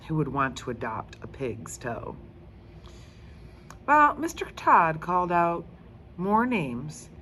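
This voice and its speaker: A woman reading a children's book aloud in an expressive, emphatic storytelling style. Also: deep voice, slight background noise. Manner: animated, emphatic, deliberate character reading.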